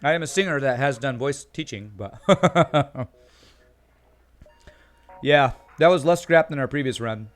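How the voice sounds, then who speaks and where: Tired-sounding male game streamer, calm voice. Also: mid-range voice, measured pace, pauses often, faint music behind. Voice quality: calm, soothing voice. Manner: chatty, reads chat aloud, laughs often. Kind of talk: casual live-stream commentary.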